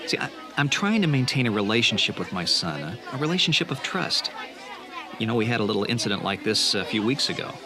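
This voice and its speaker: A man's voice: lowish voice, sing-song, fast talker, crowd noise. A man playing a stern, concerned father who lectures firmly in a family radio drama. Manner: firm, emphatic, lecturing. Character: stern, concerned father defending trust with his son.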